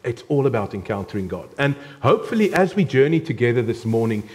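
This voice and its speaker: South african guy preaching in church, relaxed chatty sermon, lots of ums, mid pitch. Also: fast talker. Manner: warm, conversational preaching with filler ums. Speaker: guest preacher.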